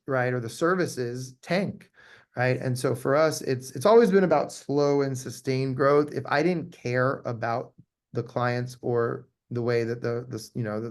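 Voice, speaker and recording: A man speaking conversationally as an interviewed law firm owner, emphatic and often repeating his key phrases. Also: mid-range voice.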